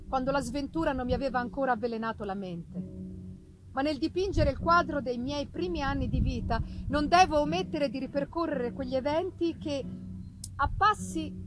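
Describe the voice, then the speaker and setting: A woman gives a dramatic reading of a gothic novel, expressive and theatrical, with deliberate pauses, as a literary narrator. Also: sits high, rough recording. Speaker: reader performing a literary text.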